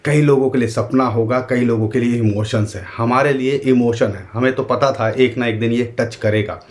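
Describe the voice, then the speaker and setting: A man's voice: medium pitch, fairly even intonation, normal speed, darkish tone. A man with an Indian accent explaining market charts to his viewers in casual, earnest talk, with a sore throat. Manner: earnest, emphatic, addresses viewers directly. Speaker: crypto market commentator.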